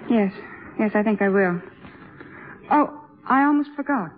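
A brittle, jealous young wife from a fifties radio play played by a woman. Her voice sits at an ordinary pitch, heard through a narrow, muffled vintage recording. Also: animated, measured pace, slight background noise, close to an everyday voice. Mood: brittle, airy. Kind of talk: 1950s radio drama acting.

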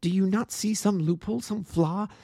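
A man's voice: moderate pace, dark tone, dry recording, studio quality. A man voicing an earnest young woman, breathless and insistent as she pleads. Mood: pleading. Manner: breathless and insistent, rising high. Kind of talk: audiobook character voice.

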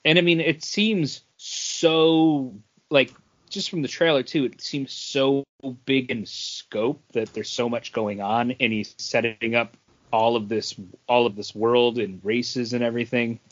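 A man's voice: mid-range voice, fairly even intonation, measured pace, a bit bright, highs cut off. A man co-hosting a podcast, chatting casually about films and comics. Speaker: podcast co-host.

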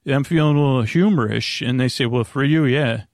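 A man with a creaky voice, hosting a bedtime podcast in a halting, rambling monologue that keeps backtracking. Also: medium pitch, a little mellow, dry recording, clean studio sound. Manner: halting, meandering, repeats and backtracks. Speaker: podcast host.

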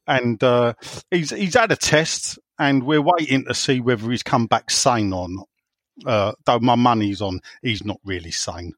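A man's voice: mid-range voice, unhurried, fairly bright tone, no echo. A man hosting a casual podcast over a call, teasing his co-hosts and talking in stop-start bursts with lots of fillers. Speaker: podcast host standing in. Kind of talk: casual chat, jokey.

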